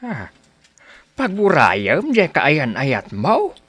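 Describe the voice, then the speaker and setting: A man's voice: a little high, wildly swooping pitch, drawn-out words, a bit bright, dry recording, exaggerated voice. An actor playing a middle-aged Ilocano father in a radio drama, prodding his grown daughter. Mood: prodding, puzzled. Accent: Ilocano, northern Philippines.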